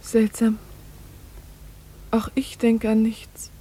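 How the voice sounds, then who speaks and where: An actress playing a devoted, dreamy young secretary in a German radio drama, speaking softly and breathily with hushed reverence for her master. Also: on the high side, completely flat delivery, drawn-out words, slight background noise. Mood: wondering, tender. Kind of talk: German radio drama acting, literary and intimate.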